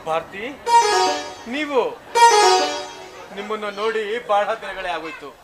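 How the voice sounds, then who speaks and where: A lovesick young man, as a man plays him pours out a poetic, melodramatic lament in a very high voice. Loud background music runs under him throughout. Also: lively intonation, measured pace. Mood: reproachful. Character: heartbroken young man pining for lost love. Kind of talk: audio drama acting.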